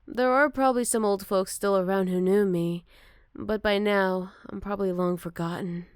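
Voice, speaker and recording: A woman voicing a gentle, motherly older werewolf, wistful and protective, in a soft and caring ASMR roleplay. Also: mid-range voice, steady tone, smooth, no room sound, studio quality, natural voice. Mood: wry, reflective. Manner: soft, caring, unhurried, slipping into whispers. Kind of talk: ASMR roleplay, intimate one-sided dialogue to listener.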